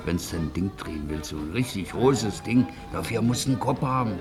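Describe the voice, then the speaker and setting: An actor playing a weary, hesitant older ex-convict cobbler with a Berlin accent in a 1950s German radio drama. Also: male voice, on the low side, lively intonation, brisk, lightly acted. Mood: reluctant. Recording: vintage 1950s radio recording, music behind.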